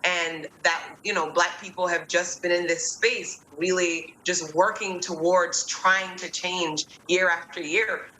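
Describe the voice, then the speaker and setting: Chatty woman with a bright voice. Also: lowish voice, measured pace, hardly any pauses. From born in New York, raised in Kingston, Jamaica. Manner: chatty, many fillers and restarts, animated. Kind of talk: casual conversational discussion. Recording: echoey room with faint ambient noise.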